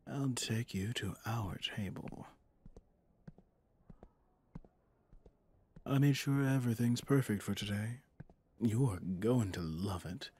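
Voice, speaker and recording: A man playing a flirtatious, possessive mafia boss in an intimate ASMR roleplay, teasing and menacingly smooth, with a husky, breathy delivery. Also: darkish tone. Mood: flirtatious, smug. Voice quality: low, husky, often dropping to a whisper. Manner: slow, teasing, intimate, with pet names. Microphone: close studio microphone, dry.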